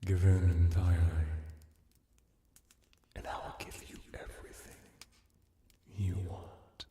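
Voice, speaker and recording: Casting: male actor as domineering vampire hypnotist for roleplay ASMR, dark mellow voice, hushed and teasing, drenched in echo. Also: sits low, fairly even intonation, gravelly, a little hiss or hum, heavily acted. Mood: teasing, commanding. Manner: slow, hushed, often dropping into whispers; teasing, commanding, condescending. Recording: very echoey, distant-sounding voice.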